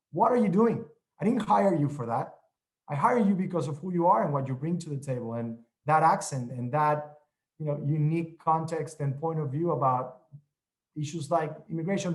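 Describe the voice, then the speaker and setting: A man with a Mexican accent, a journalist on an online panel, speaking in halting sentences full of restarts. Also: a little high, expressive, poor audio. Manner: halting, many restarts and repeated words.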